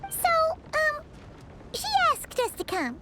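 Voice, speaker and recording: A woman's voice: huge pitch swings, slow, noisy background, full character voice. A woman voicing a small childlike fairy companion in an anime-style video game, perky, chatty and exclamatory, with music behind her. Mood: curious. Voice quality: very high, childlike, squeaky. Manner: refers to self in third person, exclamatory.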